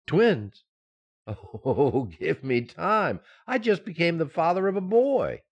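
An actor playing an excitable, befuddled, affectionate husband in a 1940s-style radio sitcom, with stammering comic delivery. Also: male voice, fairly high pitch, slow, dull audio, exaggerated voice. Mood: bewildered, eager.

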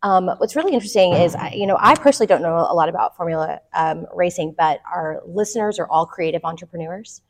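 A woman's voice: fairly low pitch, fast talker, few pauses. A middle-aged woman hosting a podcast interview in an office, chatty and enthusiastic. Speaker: podcast host. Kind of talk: casual interview chat.

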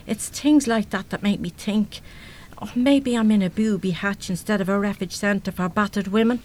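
A woman's voice: mid-range voice, moderate pace, a little mellow, natural voice. An actress with an Irish accent playing a brash, big-hearted, uneducated working-class mother in a live radio play, talking bluntly and colloquially with mild swearing. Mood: wry, grumbling.

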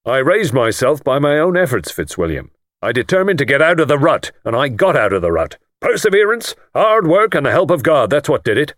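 A man's voice: on the high side, very animated, a little fast, a bit bright, dry recording, clean studio sound, voice pushed up. A man voicing a pompous, boastful self-made older lord who talks in a blustering, self-satisfied way.

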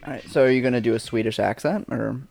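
A man's voice: medium pitch, fast talker, creaky, a little mellow, faint music behind. A man co-hosting a casual podcast, asking teasing, needling questions. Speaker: podcast co-host.

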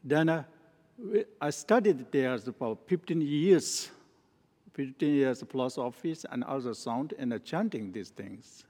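Slow halting Nepal-born man in echoey hall. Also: mid-range voice, lively intonation, a little mellow. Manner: slow, halting non-native English with many restarts. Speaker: Tibetan Buddhist teacher. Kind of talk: spoken teaching talk. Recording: long hall reverb.

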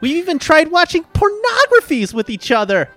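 A man's voice: very high-pitched, sing-song, moderate pace, bright, forceful tone, no room sound, soft background music, voice pushed up, exaggerated voice. A man voicing a nagging, impatient cartoon woman who squeals, scolds and pleads. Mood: pleading.

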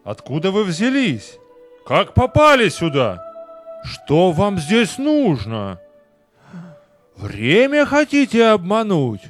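A man's voice: sits high, wildly swooping pitch, slow, soft background music, full character voice. Man acting a stern old man, swooping theatrical delivery. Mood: angry, suspicious. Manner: commanding, theatrical. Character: stern tall gray-haired old man, Grandfather Time. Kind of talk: audio play acting.

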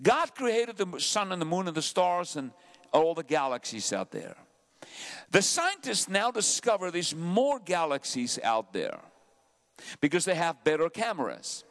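A South African man preaching a sermon to his church, dramatic, dropping to hushed asides and then climbing loudly. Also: sits high. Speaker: pastor.

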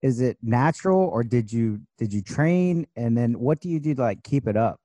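A man hosting a podcast asks casual questions, pausing and filling gaps as he goes. His tone is mellow and relaxed, and the sound is dry and close. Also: medium pitch, no echo. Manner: halting, filler-heavy questions. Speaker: podcast host.

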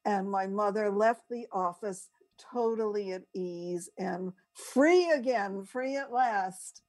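Older American female, unhurried conversational storyteller, very slow delivery, mid-range voice. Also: medium pitch, a little mellow. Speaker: co-host. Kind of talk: casual anecdotal storytelling.

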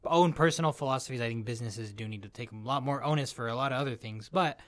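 Rambling young man, mid-pitched voice. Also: quick pace, a bit bright. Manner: rambling, heavy use of "like", frequent restarts. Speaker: podcast co-host. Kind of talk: casual chat.

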